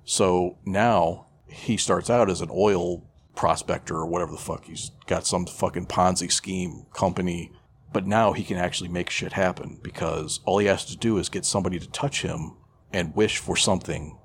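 A man's voice: low-pitched, fairly even intonation, moderate pace, a little hiss or hum. A man chatting casually as a podcast co-host, retelling a story in a profane, irreverent, rambling way. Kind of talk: casual chat, retelling a film plot.

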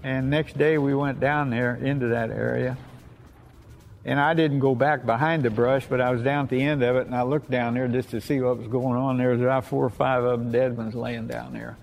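Elderly American veteran with a gravelly voice. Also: male voice, mid-range voice, steady tone, normal speed, slight background noise. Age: 80s or older. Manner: plainspoken, folksy, repeats himself. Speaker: war veteran. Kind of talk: oral history reminiscence.

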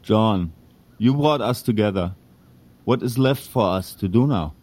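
A man's voice: lowish voice, expressive, unhurried, a little mellow. An actor playing a weary, wry German immigrant tradesman with a German accent, dry and terse, in an audio drama. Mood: dry, unbothered. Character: weary, wry, stolid immigrant carriage repairman and drinker.

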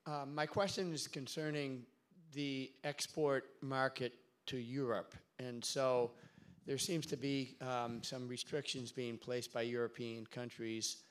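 A man's voice: mid-range voice, level tone, unhurried, smooth. A man in the audience asking a question at a policy seminar. He speaks politely and hesitantly, with many fillers.

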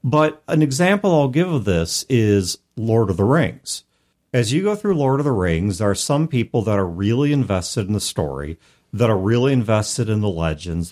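A man's voice: fairly low pitch, a little mellow. A man hosting a casual podcast discussion, speaking in an analytical, articulate way and explaining his points through examples. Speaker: podcast host discussing storytelling in role-playing games.

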